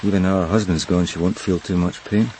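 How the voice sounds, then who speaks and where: An actor playing a dependable, world-weary police detective in a radio crime drama, matter-of-fact and cynical. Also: male voice, deep voice, fairly even intonation, fairly quick, darkish tone, a little hiss or hum, natural voice. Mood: cynical, resigned.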